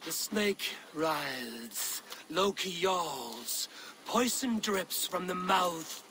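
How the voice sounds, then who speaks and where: An actor playing a steadfast, blunt Norse warrior with a declamatory streak in a dramatic video game. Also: male voice, sits high, sing-song, drawn-out words, background noise, full character voice. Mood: ominous, theatrical.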